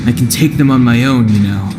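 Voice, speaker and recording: A man's voice: mid-range voice, fairly quick, lightly acted. A man playing an aloof, level-headed young fighter in a video game, speaking drily and in few words. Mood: cool, terse. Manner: reserved, dry, few words. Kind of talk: video game cutscene acting. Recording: loud music under the voice.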